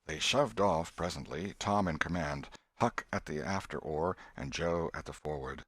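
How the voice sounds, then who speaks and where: A man reading a classic novel aloud as scripted narration, in a measured, expressive storytelling manner. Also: extremely low pitch, breathy edge, no room sound, studio quality. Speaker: audiobook reader.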